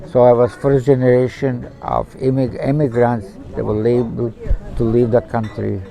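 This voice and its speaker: An older man in his seventies recounts his testimony in halting, simple English, as someone who learned it late. His voice is mellow and dark, pitched in an ordinary middle range. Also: little pitch movement, vocal fry. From born in Macedonia, raised in Zagreb. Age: 70s. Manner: halting, simple non-native English phrasing. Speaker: interviewee. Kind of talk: personal testimony in conversation. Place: outdoors at a pilgrimage site.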